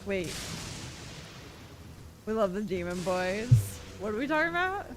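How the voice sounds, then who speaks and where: A woman's voice: mid-range voice, very animated, unhurried. A playful, giggly woman giving casual commentary as she streams a video game playthrough. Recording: game music behind the voice.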